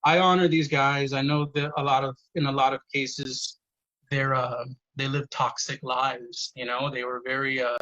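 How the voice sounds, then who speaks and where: A man's voice: medium pitch, level tone, moderate pace, bright, forceful tone, dull audio. A man, a poet guest on a podcast, telling family stories earnestly, swearing freely and saying "you know" a lot. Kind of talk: casual podcast conversation.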